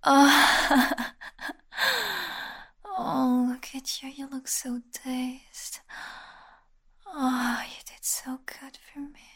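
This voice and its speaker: A woman performing an intimate audio roleplay, speaking mostly in a soft, commanding whisper. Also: high-pitched, level tone, drawn-out words, pauses often, slightly rough.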